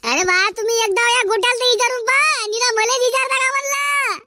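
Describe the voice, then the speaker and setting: A man voicing a cheeky, boastful village schoolboy in a comedy cartoon, arguing in a Varhadi Marathi accent through a pitched-up effect. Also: steady tone, a little fast, pushed and bright, exaggerated voice. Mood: indignant protest. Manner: argumentative, indignant, backtalking.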